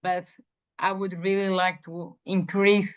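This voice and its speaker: A young woman from Belgium, whose first language is Dutch, speaks English as she introduces herself in an interview. She is earnest and steady, at a middle pitch, with many fillers and restarts. The recording sounds dull and lo-fi. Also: measured pace, clean tone. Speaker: job candidate.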